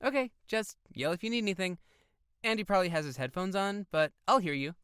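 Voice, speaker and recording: A woman's voice: medium pitch, lively intonation, fairly quick, a bit bright, clear, resonant tone, no room sound, clean studio sound, close to an everyday voice. A woman playing a kind, concerned young boy in an audio drama, casual, a little hesitant and reassuring. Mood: helpful. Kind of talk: naturalistic audio drama acting.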